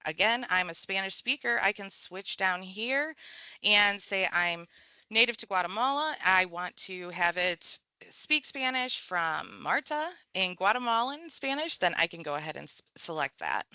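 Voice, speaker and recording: A woman walking through a software tutorial step by step, in a relaxed conversational way. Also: medium pitch, measured pace, clear, resonant tone, no room sound, muffled recording. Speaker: tech trainer. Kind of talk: screen-share software tutorial.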